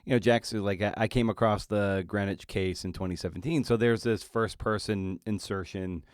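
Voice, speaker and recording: A man's voice: on the low side, steady tone, moderate pace, dry recording, clean studio sound. A man hosting a podcast and putting questions to his guest in a casual, conversational style. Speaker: podcast host, interviewer.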